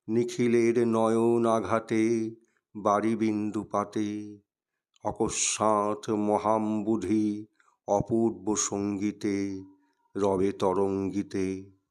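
Slow, clear-voiced man reciting poetry. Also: lowish voice, darkish tone. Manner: measured, deliberate, declamatory phrasing. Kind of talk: dramatic Bengali poetry recitation.

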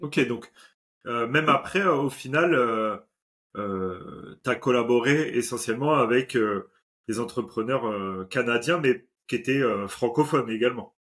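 A man in his forties hosting an interview on a video call, relaxed and chatty with many fillers. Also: mid-range voice, a little slow. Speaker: podcast host.

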